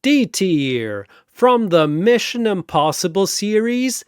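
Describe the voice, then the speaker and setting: Guy doing a showy fantasy battle arena announcer, grandiose, stretches words out, super animated, dry close sound. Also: sits high, slow, clear, resonant tone, no echo, pitched above the performer's own voice, exaggerated voice. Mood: hyped announcing. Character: flamboyant four-armed arena master of ceremonies, showy and grandiose.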